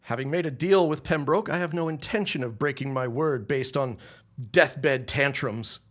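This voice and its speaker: A man playing a defiant, contemptuous grown son in a period audio drama, with a formal, measured and cutting delivery. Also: mid-range voice, a bit bright, natural voice. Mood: firm, defiant.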